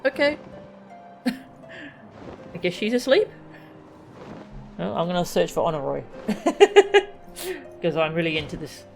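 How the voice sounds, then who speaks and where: A woman's voice: on the low side, very animated, slow, vocal fry, long pauses, a little mellow. A woman chatting casually as she streams a video game. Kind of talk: casual livestream chat while playing. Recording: game music behind the voice. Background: game music.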